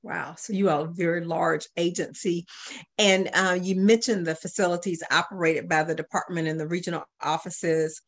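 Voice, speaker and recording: A woman's voice: on the low side, fairly even intonation, moderate pace. A woman hosting a radio interview, asking questions in a conversational way. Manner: conversational, asks questions with restarts.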